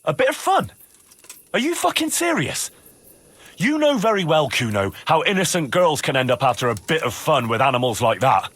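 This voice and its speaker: An actor playing a stubborn, righteous young hero in a video game, arguing insistently. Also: male voice, on the high side, very animated, moderate pace, a bit bright, husky, no echo, heavily acted. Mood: indignant. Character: earnest, indignant, stubborn young male hero. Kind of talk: video game cutscene acting.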